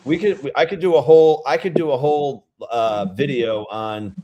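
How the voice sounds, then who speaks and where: A man's voice: mid-range voice, normal speed, dark tone, lo-fi sound, a little hiss or hum. Chatty man with a Rhode Island accent. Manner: chatty, rambling, reads out viewer comments. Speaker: beer review vlogger. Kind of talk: casual livestream chat.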